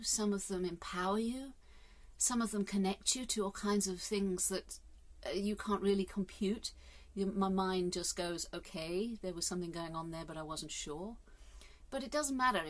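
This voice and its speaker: A woman in her fifties from England, musing earnestly in a casual vlog, filmed on a phone held at arm's length inside a caravan. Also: medium pitch. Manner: earnest, musing, with hesitant fillers. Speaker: vlogger. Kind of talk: casual reflective monologue. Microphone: phone camera at arm's length.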